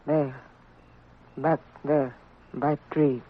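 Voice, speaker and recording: Radio western Arapaho wife: halting, terse broken English, very slow delivery, thin vintage broadcast sound. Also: female voice, low-pitched, steady tone, heavily acted. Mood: resigned. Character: Arapaho wife, halting, loyal to her violent husband. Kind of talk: 1950s radio western acting. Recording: tinny, narrow-band old radio recording.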